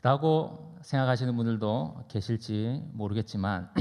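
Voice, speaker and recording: A man preaching as a pastor in a conversational, anecdotal sermon style, deliberate and pausing between phrases. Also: mid-range voice, vocal fry, a little mellow. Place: church sanctuary during a service. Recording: reverberant hall, long echo.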